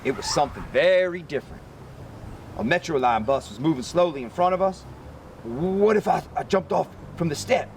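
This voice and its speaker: Animated, gravelly man reading a storybook. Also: fairly high pitch, moderate pace, a little hiss or hum. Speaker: story reader. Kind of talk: expressive picture-book read-aloud.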